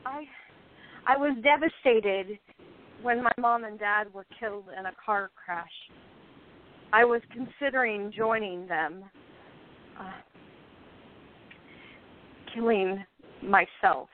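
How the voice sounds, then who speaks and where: A woman's voice: mid-range voice, slow, long pauses, a little hiss or hum, lightly acted. Woman acting a wounded young actress, phone-like sound. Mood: sad, confessing. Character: jealous, guarded young actress, tearful and wounded. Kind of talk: amateur radio play acting. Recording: thin, phone-like band-limited audio.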